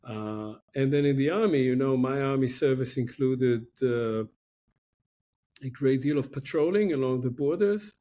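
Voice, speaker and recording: A man in his sixties from Israel, a guest professor giving reflective, measured interview answers with many pauses over a laptop video call from a room at home. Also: medium pitch, mellow, slight background noise. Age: 60s.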